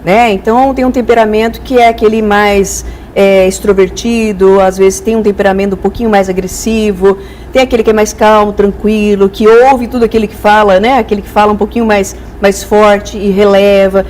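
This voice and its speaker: A woman's voice: medium pitch, steady tone, a little fast, darkish tone, slight background noise, poor audio. A Brazilian woman speaking as an expert guest in a conversational, didactic way, illustrating with acted-out examples. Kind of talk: conversational explanatory interview.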